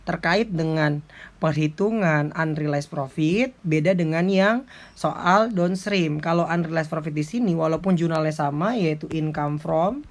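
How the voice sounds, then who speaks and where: A man explains like an accounting lecturer in an informal tutorial, going step by step in a halting way. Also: a little high. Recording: clearly noisy background with clicks.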